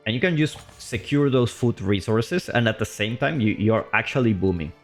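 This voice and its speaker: A man streaming live commentary on a strategy game match. He is chatty and loose, and his voice leaps up on big plays before settling back to calm explaining. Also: moderate pace, faint music behind. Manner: excitable, voice jumps high on big plays, then calm explaining; loose and chatty, with fillers and restarts.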